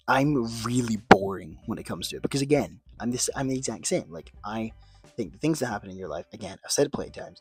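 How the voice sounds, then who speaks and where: A young man with a low, slightly husky voice, chatting casually to camera. He rambles, pokes fun at himself and swears without thinking. Faint music plays behind him. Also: no room sound. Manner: rambling, self-mocking, swears casually, trails off and restarts. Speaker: video creator answering questions. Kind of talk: casual chat.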